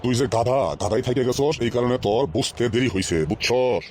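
A man plays an exasperated village teacher in a comic cartoon. He lectures and scolds in colloquial rural Bangladeshi Bengali, at an ordinary male pitch. A light layer of noise runs under the recording. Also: a little fast, a little mellow, lightly acted.

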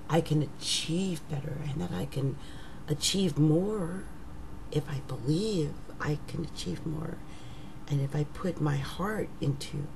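Slow, gravelly middle-aged woman musing. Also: low-pitched, noisy background. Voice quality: rough, raggedy voice. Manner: slow, musing, trails into whispers. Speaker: astrologer. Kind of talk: casual monologue to webcam. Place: living room at home. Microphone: webcam at desk.

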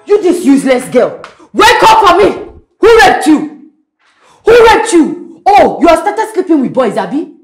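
A woman's voice: extremely high pitch, very animated, a little slow, faint music behind, full character voice. A Nigerian woman acting a harsh, scolding mother with a volatile temper in a screen drama. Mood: enraged, bitter. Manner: scolding, confrontational.

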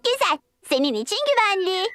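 Bossy happy little piggy girl, cartoon, super high squeaky pitch, swoops around a lot. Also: big pitch swings, brisk, loud music behind the voice. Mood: playful, bossy. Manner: exclaiming, eager, playful. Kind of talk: children's cartoon dub.

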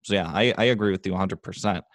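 Male podcast host, deep voice, conversational and reflective, dry recording. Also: racing, no room sound. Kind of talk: podcast interview.

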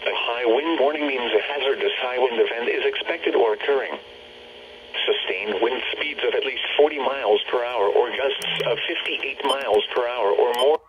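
Dull, noisy radio weather announcer, male. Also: mid-range voice. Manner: formal, measured delivery. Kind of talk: scripted weather warning bulletin. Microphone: camera held near radio speaker.

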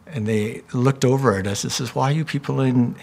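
A man's voice: medium pitch, a little fast, gravelly, a little mellow. An older man recalling his childhood in a plain, matter-of-fact way. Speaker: interviewee.

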